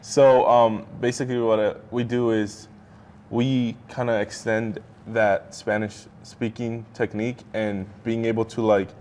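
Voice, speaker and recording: A teenage boy, a high school student being interviewed, who talks hesitantly with lots of "um" and "like.". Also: level tone, measured pace, a little mellow, a little hiss or hum. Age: teens. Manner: hesitant, with many "um" and "like".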